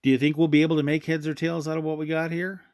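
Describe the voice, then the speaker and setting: A man's voice: fairly high pitch, little pitch movement, very fast, a little mellow, faint music behind, natural voice. An actor playing an easygoing male park ranger in an audio drama, talking in a conversational, somewhat hesitant way. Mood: curious.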